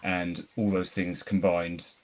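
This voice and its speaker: Low-pitched male podcast co-host, rambling, enthusiastic film chat. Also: flat delivery, slow pace, a little mellow. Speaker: podcast co-host reviewing films. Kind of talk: casual film discussion.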